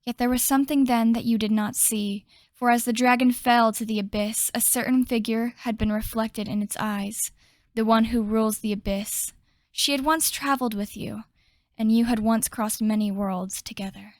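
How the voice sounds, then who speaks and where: A woman playing a solemn storyteller, narrating a fantasy game legend in a measured way. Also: on the high side, fairly even intonation, dry recording, natural voice.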